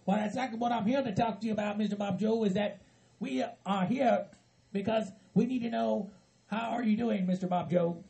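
Guy doing nervous southern hillbilly peacemaker, real high creaky voice, stumbles over words, keeps soothing. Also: fairly quick. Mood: earnest, placating. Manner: stammering, repetitive, pleading for calm. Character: earnest hillbilly kinsman, stammering, placating peacemaker.

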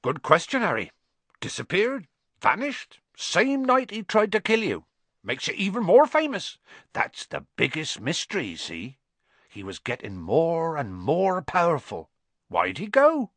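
A husky-voiced man voicing a huge, gruff but kindly bearded giant with rough folksy speech. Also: huge pitch swings, a little slow, fairly bright tone, dry recording, full character voice. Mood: earnest. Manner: rough folksy speech, booming when angry.

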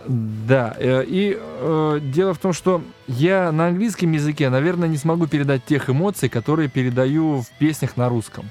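A male band musician argues his views on a podcast, firm and emphatic. His voice sits slightly high for a man. Faint music hums in the background. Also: normal speed, hardly any pauses, a little mellow. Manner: opinionated and emphatic. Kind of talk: casual podcast interview.

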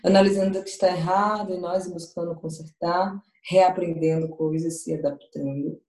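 A woman's voice: fairly low pitch, steady tone, moderate pace, mellow. A woman giving an interactive online talk over a video call, speaking straight to her audience and picking up their chat replies. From Salvador, Bahia, Brazil. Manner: conversational, addresses audience directly, reads out chat replies. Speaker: guest speaker in an online event. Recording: echoey room.

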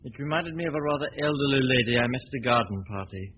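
A man playing a suave gentleman sleuth, breezy and teasing, 1940s radio play, dull old recording, faint hiss. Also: mid-range voice, lively intonation, fast, lightly acted. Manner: breezy, teasing, self-assured. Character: suave confident male amateur detective. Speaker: lead actor in radio drama.

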